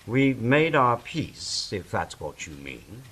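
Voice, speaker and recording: A man's voice: mid-range voice, sing-song, takes it slowly, fairly bright tone, husky, exaggerated voice. A man playing a solemn, aloof angel in an audio drama, speaking in a grave, dry, formal way. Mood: dry, guarded. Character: solemn, aloof male angel at the end of time.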